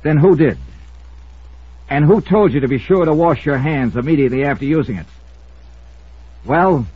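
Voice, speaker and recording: An actor playing a shrewd, sardonic insurance investigator, wry and pressing, in an old radio detective drama. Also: male voice, fairly high pitch, lively intonation, moderate pace, slightly rough, no room sound. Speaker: star and narrator of the show. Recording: muffled old radio recording.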